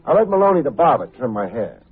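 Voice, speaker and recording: An actor playing a wry, self-assured hard-boiled private detective in a vintage radio drama, full of sardonic slang. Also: male voice, medium pitch, sing-song, fast talker, soft background music, close to an everyday voice. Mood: dry narration. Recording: muffled, tinny vintage radio recording.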